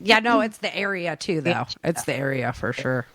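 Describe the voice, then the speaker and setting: A woman host in casual chat, with an animated, self-deprecating, joking way of talking. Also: deep voice, normal speed.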